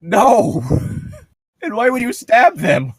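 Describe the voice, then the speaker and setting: A man's voice: extremely high pitch, huge pitch swings, very slow, husky, no echo. A man co-hosting a comedic read-aloud, bantering casually with a sarcastic, teasing manner and ready laughter. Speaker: co-host of a comedic book-reading show.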